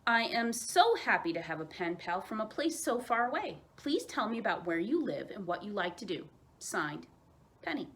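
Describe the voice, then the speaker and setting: A woman's voice: mid-range voice, very animated, normal speed, fairly bright tone. Woman reading as a friendly, eager penguin girl pen pal.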